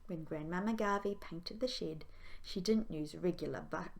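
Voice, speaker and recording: A woman's voice: fairly low pitch, moderate pace, vocal fry. A woman, a primary school teacher, reading a children's story aloud expressively.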